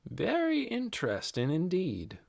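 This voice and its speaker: A man voicing a pious, intense older woman in an audiobook, deliberate and honeyed, sweetly controlling. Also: sits high, wildly swooping pitch, creaky, darkish tone, pitched above the performer's own voice, full character voice. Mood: intrigued. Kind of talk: audiobook character voice.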